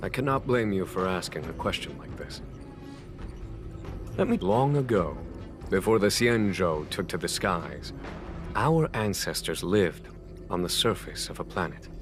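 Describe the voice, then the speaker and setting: Low-voiced, measured army general. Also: male voice, animated. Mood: solemn storytelling. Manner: measured, formal, courteous. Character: calm, wry military general of a spacefaring fleet. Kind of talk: scripted video game dialogue. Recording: background music under the voice.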